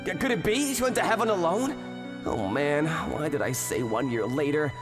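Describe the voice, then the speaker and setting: A man's voice: on the high side, moderate pace, close to an everyday voice. A young man playing an earnest office worker in a manga dub, narrating his story and voicing his lines expressively. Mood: panicked, startled. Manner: animated, expressive, swings between narration and exclamation. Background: music.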